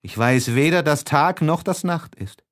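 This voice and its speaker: A man narrating a literary audiobook in an expressive, measured, dramatic way. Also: a little high.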